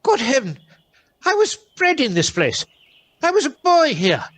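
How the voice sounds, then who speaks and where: An actor playing an old miserly gentleman with formal, expressive diction in a theatrical radio play. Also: very high-pitched, a little slow, no room sound, exaggerated voice. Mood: excited, joyful. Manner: formal, archaic, expressive delivery.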